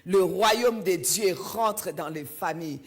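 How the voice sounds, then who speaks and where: Slow, emphatic woman preaching. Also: fairly low pitch. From Belgium. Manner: emphatic, repeats key phrases. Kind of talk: sermon. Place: church.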